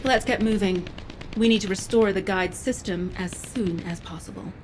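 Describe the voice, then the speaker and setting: A woman's voice: mid-range voice, moderate pace, slight background noise, lightly acted, rough recording. A woman playing a composed, confident special-forces operative in a game cutscene, cool, assured and businesslike. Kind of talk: scripted game cutscene acting.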